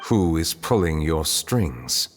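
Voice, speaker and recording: An age-old, solemn judge in a video game. His voice sits low and he questions people formally and calmly. It rings in a long reverberant hall over faint music. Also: exaggerated voice. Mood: stern, probing. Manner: formal, measured, interrogating. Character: grave, ancient male Chief Justice, calm and measured.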